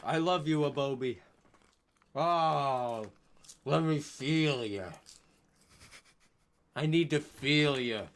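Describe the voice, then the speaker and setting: A man's voice: a little high, slow, pauses often, soft background music. A man voicing a needy, emotional teenage boy in an overwrought, pleading way for a comic story reading. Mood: passionate. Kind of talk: comic fan fiction reading.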